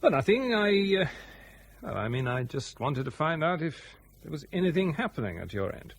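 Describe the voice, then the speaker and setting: Proud pilot, expressively pitched voice. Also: male voice, sing-song, moderate pace, husky, exaggerated voice. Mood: awkward, hopeful. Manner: stiff, hesitant, dry. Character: proud, wounded out-of-favour airline pilot. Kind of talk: radio drama acting.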